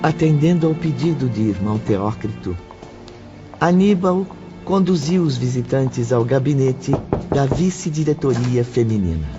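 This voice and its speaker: Actor as very slow, grave Brazilian storyteller. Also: male voice, medium pitch, expressive, close to an everyday voice. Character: measured, grave male storyteller of a spiritist tale. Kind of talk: scripted audio drama narration. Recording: muffled audio drama, loud music bed.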